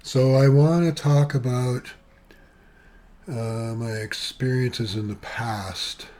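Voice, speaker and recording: A soft-voiced Canadian man in his seventies, sitting at his computer at home and rambling through a personal video monologue with many asides. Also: medium pitch, fairly even intonation, takes it slowly. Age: 70s. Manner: chatty, eccentric, wandering with asides and fillers. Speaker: vlogger. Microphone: webcam with external sound gear.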